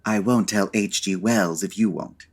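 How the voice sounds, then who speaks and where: A man playing a suave, wry gentleman sleuth in an old-time radio comedy, urbane and dryly sarcastic. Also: fairly low pitch, a little slow. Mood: confident, teasing.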